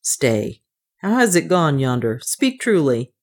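Audiobook hero, voiced by a woman: brave man, stern but tender, pitched low, steady and commanding. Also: slow pace, heavily acted.

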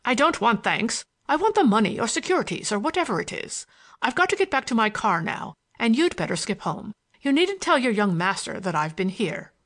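A woman's voice: fairly high pitch, very animated, a little fast, fairly bright tone, exaggerated voice. A woman voicing an impatient, imperious, scheming businessman with a curt, commanding manner in an audiobook.

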